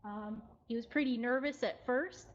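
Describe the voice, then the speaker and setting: A woman's voice: on the high side, moderate pace. A woman presenting in a casual, informal way on a livestream from a cat cafe. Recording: dull lo-fi audio, faint music. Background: faint background music.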